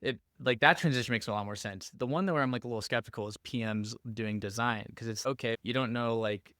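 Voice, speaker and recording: A man hosting a podcast in casual conversation, talking informally and haltingly as he works out his thoughts. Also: mid-range voice, a bit bright, no echo. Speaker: podcast host.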